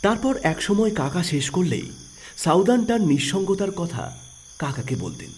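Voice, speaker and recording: A man narrating an audio story in an expressive storytelling manner. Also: high voice, normal speed, slight background noise. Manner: expressive, rising and falling storytelling.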